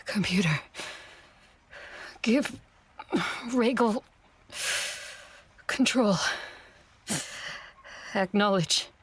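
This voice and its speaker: A woman acting an ancient, commanding vampire in an audio drama, with a breathy, measured and imperious delivery. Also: mid-range voice, expressive, full character voice. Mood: urgent, strained. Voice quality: husky, breathy. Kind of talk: first-person audio drama narration.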